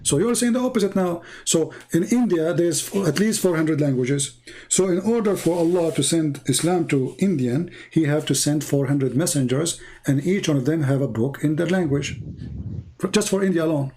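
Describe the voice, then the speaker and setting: A man with a somewhat high, soft-edged, mellow voice argues in non-native English. He runs the debate insistently, interrupting, quoting scripture and throwing pointed questions at his opponent. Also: moderate pace, slight background noise. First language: not English. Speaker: debate host challenging a caller on religion.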